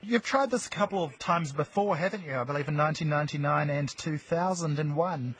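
A man's voice: on the high side, a little fast, fairly bright tone. A man working as a radio news reporter, reading news and asking interview questions. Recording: very noisy analog shortwave radio.

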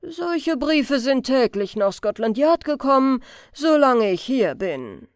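A woman's voice: high voice, sing-song, slow pace, dry recording, studio quality, voice pushed up, exaggerated voice. A woman voicing a pompous, indignant male police major in an audiobook, haughty and scolding, with emphatic delivery. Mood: sneering. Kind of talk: audiobook character voice.

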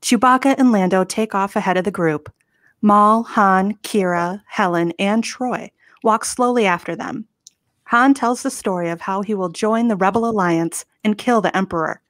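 A woman's voice: mid-range voice, moderate pace, no room sound, clean studio sound. A woman reading scripted stage directions as narrator of a comedy table read. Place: home room. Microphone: home video call.

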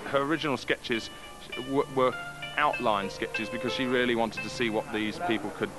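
A man's voice: medium pitch, steady tone, moderate pace, over music, rough recording. A man speaking in an interview, enthusiastic and emphatic.

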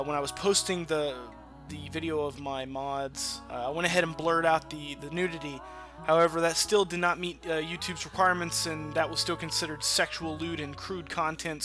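A man's voice: on the high side, bright, forceful tone. A man hosting a gaming channel, giving casual, rambling let's-play commentary with informal, sweary talk. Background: game music.

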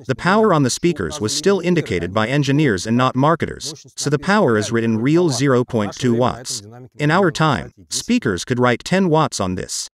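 A middle-aged man with a Russian accent giving a scripted, matter-of-fact technical explanation as a presenter of radio reviews. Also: mid-range voice. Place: small dim room with computer desk.

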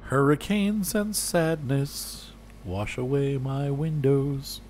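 A man's voice: mid-range voice, slow pace, darkish tone, a little hiss or hum. A man chatting casually and rambling to viewers while playing a farming game, laughing often. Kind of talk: casual gameplay chat.

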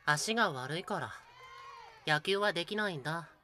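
Woman acting shy young boy, bright and lively. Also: lowish voice, moderate pace, a faint crowd, slight background noise. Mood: shy, matter-of-fact. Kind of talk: Japanese voice drama dialogue.

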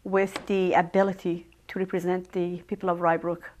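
A woman from Iran with a Persian accent, answering interview questions as a local election candidate, speaking in a measured way with frequent ums and uhs. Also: fairly low pitch, flat delivery, darkish tone, slight background noise. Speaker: village trustee candidate.

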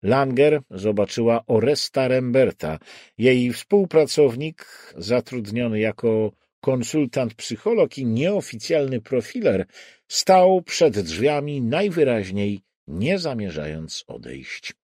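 A man narrating a crime thriller audiobook in a deliberate, suspenseful way with dramatic pauses. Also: mid-range voice, sing-song, darkish tone, no room sound, clean studio sound.

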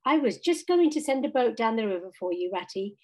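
A woman's voice: on the high side, very animated, a little fast. A woman voicing an exuberant, boastful, affectionate male toad in a storybook, effusive and exclaiming. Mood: delighted.

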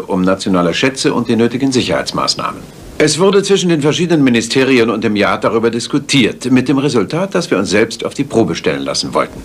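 Ein Sprecher, der in einem Hörspiel einen förmlichen, feierlichen hohen Regierungsbeamten spielt. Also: male voice, mid-range voice, slight background noise. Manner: formal and ceremonious. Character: formal senior male government official. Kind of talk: German radio drama.

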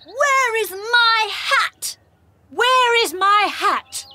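Excitable storybook townsfolk, put on by a woman, exclaiming in an extremely high, shrill voice. The cries are very drawn out and swooping, on a noisy recording. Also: drawn-out words, exaggerated voice. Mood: upset. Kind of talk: acted storybook reading for English learners.

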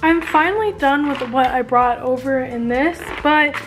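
High-voiced chatty teenage girl. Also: moderate pace, soft background music, rough recording. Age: late teens. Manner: chatty, rambling, lots of "like". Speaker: vlogger. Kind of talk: casual vlog chat to camera. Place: bedroom at home, indoors.

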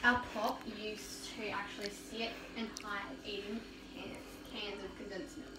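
A woman's voice: mid-range voice, level tone, measured pace, reverberant, recorded from a distance. A teenage girl, joking and chatting to viewers while she cooks in a home kitchen, filmed on a phone. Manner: bubbly and joking, talks to viewers. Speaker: vlogger cooking. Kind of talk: casual chat. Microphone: handheld phone camera. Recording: phone audio with kitchen noise.

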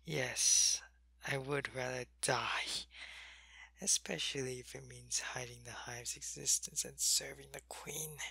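Guy doing a sick alien soldier, slow tired whispery asmr voice, gravelly. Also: mid-range voice, fairly even intonation, no room sound, heavily acted. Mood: weary, ill. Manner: soft, weary, often whispering. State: sick and weak, in pain. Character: sick male alien changeling soldier, loyal to his queen. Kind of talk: ASMR roleplay.

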